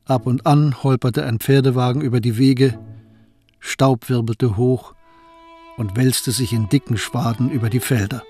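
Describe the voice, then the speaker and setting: A man's voice: mid-range voice, a little mellow, husky, dry recording. Male radio play narrator, faint music. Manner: measured, storytelling delivery.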